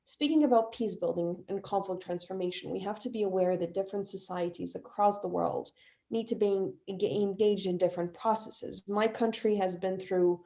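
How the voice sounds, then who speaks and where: A woman's voice: medium pitch, normal speed, warm tone. Earnest woman in her twenties, from Bosnia. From Bosnia and Herzegovina. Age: 20s. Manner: earnest, fluent with fillers. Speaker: panelist, facilitator. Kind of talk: online panel talk.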